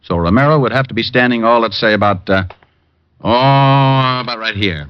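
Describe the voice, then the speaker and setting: An actor playing a cocky, wisecracking private detective in old radio style, brisk and wry as he probes. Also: medium pitch, clean tone, lightly acted. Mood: focused, reasoning. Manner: brisk, wry, probing questions. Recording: muffled vintage radio recording.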